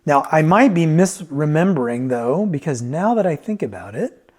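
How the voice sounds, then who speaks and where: Higher-voiced man giving a casual review. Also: sing-song, normal speed, a little mellow. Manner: conversational, thinking aloud, checking in with "right?". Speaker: vintage hi-fi reviewer and repairer. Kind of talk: casual off-the-cuff product review.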